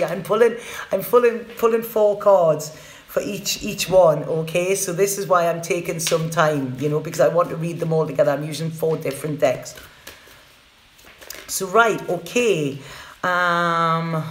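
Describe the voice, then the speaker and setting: A woman's voice: on the low side, a little hiss or hum. A woman reads tarot cards live for her viewers in a loose, chatty way. She repeats words and keeps checking in with "okay?". Her voice rings in a roomy, echoey space. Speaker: tarot reader. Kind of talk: live tarot reading, casual chat to viewers.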